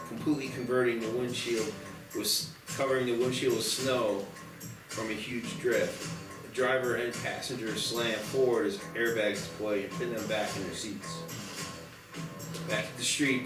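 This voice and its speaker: A man narrates a screenplay's stage directions at a table read in a middle-pitched voice. He sounds roomy and a little away from the mic, with music clearly behind him. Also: distant mic.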